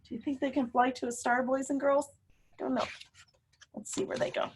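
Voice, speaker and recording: A woman teacher reading a picture book aloud to children, pausing to ask them simple, encouraging questions. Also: high voice, little pitch movement, a little hiss or hum, rough recording.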